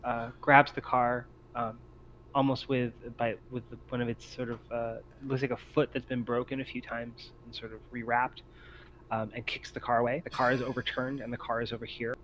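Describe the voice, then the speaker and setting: A man narrating an improvised tabletop game as game master, feeling his way through descriptions in halting phrases. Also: medium pitch, little pitch movement, a bit bright, slight background noise, poor audio.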